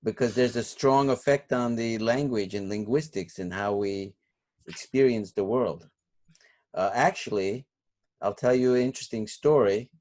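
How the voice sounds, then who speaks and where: A man's voice: medium pitch, normal speed, a little mellow. A man whose first language was Turkish, a course instructor giving feedback and asking questions in a class, rambling and thinking aloud with many fillers.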